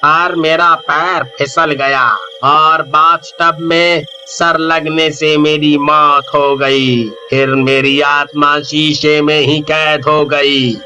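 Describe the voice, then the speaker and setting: A man's voice: a little high, pushed and bright, exaggerated voice. A man voicing a vengeful male ghost trapped in a mirror, menacing and gloating, with laughs between his threats.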